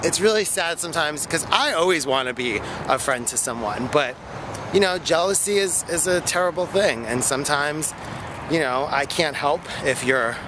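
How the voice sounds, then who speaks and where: A man in his thirties, out of breath, rambles into a phone held at arm's length as he walks a park path. He talks in a chatty way, full of fillers. Clear outdoor noise and passing cars sit under his medium-pitched voice. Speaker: vlogger. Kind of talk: casual walking vlog. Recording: noisy outdoor phone recording.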